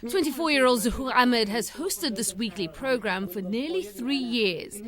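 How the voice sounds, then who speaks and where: A woman presenting a television news magazine, reading scripted narration in a measured, formal way. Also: fairly high pitch, expressive. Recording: voice-over with music behind.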